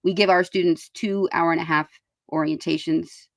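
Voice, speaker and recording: A woman's voice: lowish voice, fairly even intonation, normal speed, vocal fry, dry recording. A woman speaking as a school board member in a panel interview, emphatic and given to rhetorical questions.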